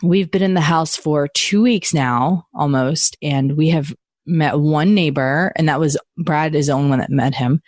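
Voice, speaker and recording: A woman's voice: low-pitched, normal speed, a little mellow, no echo. A woman in her thirties co-hosting a podcast in easy, rambling chat. She laughs often and leaves thoughts half-finished. The recording is dry and close, with no room sound. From United States. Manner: chatty, laughs often, trails off mid-sentence. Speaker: podcast co-host. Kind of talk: casual chat.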